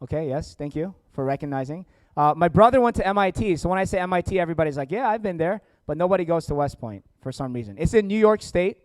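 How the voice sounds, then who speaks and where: An American man presents at a seminar in a crisp, resonant voice with very little room echo. He speaks informally as an educator and host, keeping things jokey and engaging with his audience. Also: fairly high pitch, lively intonation, fast, hardly any pauses. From born in South Korea, grew up in Atlanta, United States. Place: indoor seminar room with families and online viewers.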